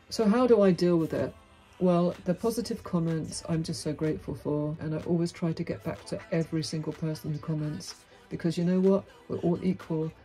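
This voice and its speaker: A woman's voice: dark tone, rough recording. A middle-aged woman, talking frankly and wryly to camera in a small dim room. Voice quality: deep voice. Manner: outspoken, frank, wry. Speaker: vlogger. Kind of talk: candid monologue to camera. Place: small dim indoor room with brick wall.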